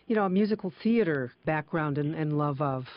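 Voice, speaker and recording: A radio host leading an interview with friendly, complimentary questions. The voice sits at a middle pitch. It is recorded dry and close, with a somewhat muffled broadcast tone. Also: lively intonation, fairly quick, no echo.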